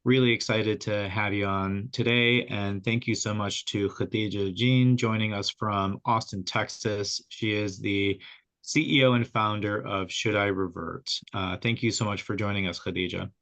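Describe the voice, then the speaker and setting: A man hosting a podcast interview, friendly and relaxed, with fillers. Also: lowish voice, moderate pace. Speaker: podcast host. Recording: dull lo-fi audio.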